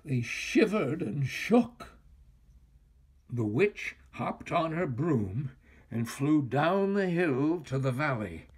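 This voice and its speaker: An older man reading a children's story aloud in an expressive, deliberate, dramatic way. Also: a little high, husky. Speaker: storyteller, actor.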